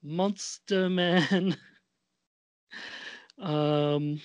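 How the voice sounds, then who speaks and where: A woman in her forties co-hosts a podcast in casual conversation. She talks slowly and hesitantly, wandering through her thoughts. The sound is dry, with next to no room on it. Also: on the low side, steady tone, clear, resonant tone, no echo. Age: 40s. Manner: hesitant, rambling. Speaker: podcast co-host. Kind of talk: casual chat.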